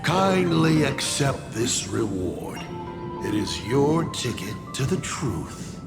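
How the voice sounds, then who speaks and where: An actor playing a sly, theatrical masked trickster who poses as a father, wry and gravelly, in a video game. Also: medium pitch, very animated, takes it slowly, over loud music, exaggerated voice. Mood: solemn, inviting. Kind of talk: dramatic video game dialogue.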